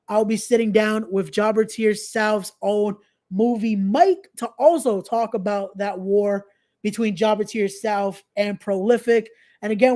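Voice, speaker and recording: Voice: mid-range voice, darkish tone. Casual podcast host, slangy and repetitive. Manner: repeats phrases, informal slangy asides. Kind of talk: casual live-stream chat with event promotion.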